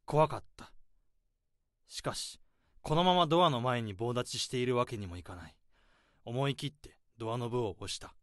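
Deadpan man narrating a radio drama. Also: mid-range voice, normal speed, long pauses, no room sound, studio quality. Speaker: first-person narrator. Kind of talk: radio drama inner monologue.

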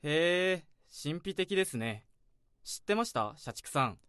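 A man's voice: fairly high pitch, very animated, measured pace, fairly bright tone, clean tone, no echo, clean studio sound. An actor playing a brash, complaining young male office worker in his twenties in a radio drama.

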